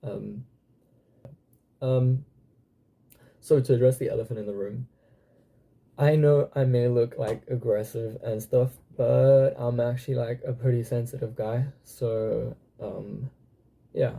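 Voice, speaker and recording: A young South African man in his twenties, speaking in a dark, gravelly voice with a husky edge. He talks to camera haltingly, full of ums and restarts, with the odd curse thrown in. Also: mid-range voice, fairly even intonation. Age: 20s. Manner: hesitant, many fillers and restarts, occasional swearing. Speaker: channel host. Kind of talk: casual personal vlog.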